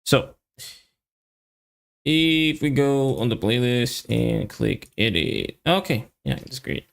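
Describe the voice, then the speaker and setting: Male developer streamer, casual think-aloud delivery, very slow with long pauses, heavily creaky mid-pitched voice. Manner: halting, muttered fragments with long pauses. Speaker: software developer live streamer. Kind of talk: casual live coding commentary, thinking aloud.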